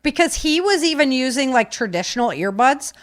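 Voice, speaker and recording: A woman's voice: high voice, very animated, fairly quick. A woman hosting a podcast, chatting informally to live listeners in a loose, rambling way. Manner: informal, filler-heavy, rambling. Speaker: podcast host. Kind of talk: casual chat to live listeners. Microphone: webcam stream setup, set back from keyboard.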